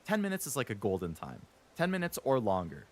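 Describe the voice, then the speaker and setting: A man streaming a game, chatting casually and rambling a bit, stuttering now and then when he talks fast. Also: medium pitch, wildly swooping pitch. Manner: rambling, chatty, lots of fillers.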